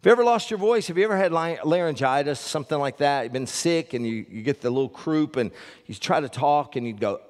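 An older man preaches from a church stage, folksy and quick to joke with the people in front of him. He talks into a headset mic, and his voice trails off into the long reverb of a large sanctuary. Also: a little high, lively intonation. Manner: folksy, joking with the congregation. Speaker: pastor. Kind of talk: sermon with announcements.

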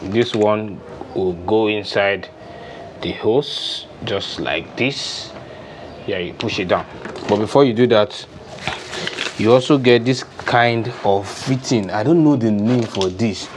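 A man's voice: lowish voice, slow, noisy background. A young man with a Nigerian accent, giving an informal, unscripted hands-on demonstration step by step. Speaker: DIY farming instructor. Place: open-sided poultry house with concrete floor. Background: music.

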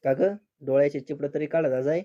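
A man's voice: on the high side, level tone, quick pace, mellow, dull audio, lightly acted. A man voicing a dim, whiny, crude young bridegroom in a cartoon comedy, with a rural Maharashtrian accent. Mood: naive. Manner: naive, complaining.

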